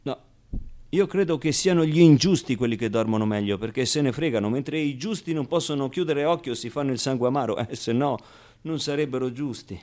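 A naive young orphan boy, acted by a man in a medium-pitched voice, tells his own story in a radio play. He is streetwise and tenderly confiding, with halting pauses and repeated words as he goes. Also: darkish tone, close to an everyday voice. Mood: reflective. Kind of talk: theatrical radio monologue, first-person storytelling.

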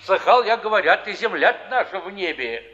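An actor playing a kindly, sly old wandering pilgrim in a stage drama, folksy and philosophizing. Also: male voice, high voice, lively intonation, moderate pace, noisy background. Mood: musing, amused. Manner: folksy, chuckling, philosophizing in sayings. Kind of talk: theatrical stage acting. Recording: dull 1950s-70s stage recording, long hall reverb.

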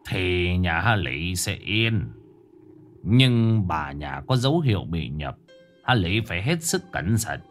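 A man voicing a solemn old male exorcist shaman who speaks slowly and gravely. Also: low voice, heavily acted. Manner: slow, grave, warning. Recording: soft background music.

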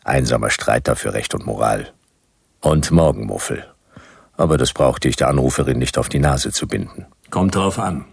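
Very deep, gravelly weary detective. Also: male voice, moderate pace, dry recording, studio quality, full character voice. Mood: dry, sardonic, then curt, ironic. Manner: deadpan, laconic, clipped sardonic phrases. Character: grumpy, world-weary male private detective. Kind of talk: hard-boiled radio play narration.

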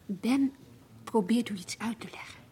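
An actress playing a patient, careful care worker mediating in a family, polite and tactful, in a Dutch radio play. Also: female voice, a little high, a little slow, mellow, husky.

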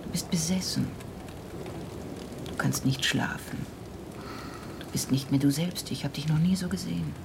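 An actress playing a detective's worried, weary wife, tender but reproachful, breathy and hushed, in a naturalistic radio drama. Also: female voice, sits low, normal speed, pauses often, noisy background. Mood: worried, pleading.

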